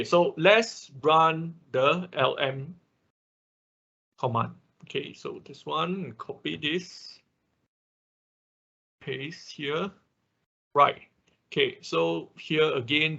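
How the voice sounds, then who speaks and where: A man who speaks English as a second language, giving a live technical tutorial step by step in a halting manner, often checking with short tag words. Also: fairly high pitch, vocal fry, pushed and bright. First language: not English. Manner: halting, often repeats and checks with okay or right. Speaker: workshop instructor. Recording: dull, lo-fi online class audio.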